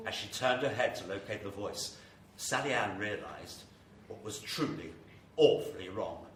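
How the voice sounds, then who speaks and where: A man's voice: on the low side, lively intonation, normal speed, close to an everyday voice. A man playing a grave, theatrical narrator of a horror radio drama, telling it with suspense. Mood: suspenseful, ominous. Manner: theatrical, suspenseful, building to intensity. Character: grave, ominous male horror story narrator. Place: live performance venue with audience. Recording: echoey live hall, distant mic, faint noise.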